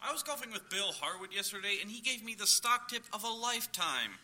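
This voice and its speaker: A man's voice: high-pitched, expressive, fast, pushed and bright, heavily acted. A man playing a breezy, overconfident and gullible family father in a comedic audio play. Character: cheerfully gullible, scheming suburban dad. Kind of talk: comedic audio theater acting.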